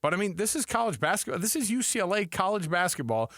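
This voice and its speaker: A man's voice: high voice, very animated, fast, fairly bright tone, dry recording, clean studio sound. A man co-hosting a sports talk show, debating informally, thinking aloud and putting questions to his partner.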